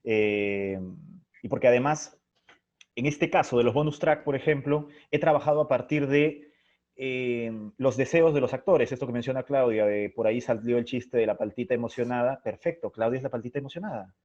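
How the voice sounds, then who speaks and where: A man talks as an interview guest, thinking his way through each point. His voice sits at an average pitch and his delivery is expressive and anecdotal. The sound is muffled and lo-fi, as from an internet call. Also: normal speed. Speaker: interview guest, theatre playwright and director. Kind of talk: reflective interview conversation.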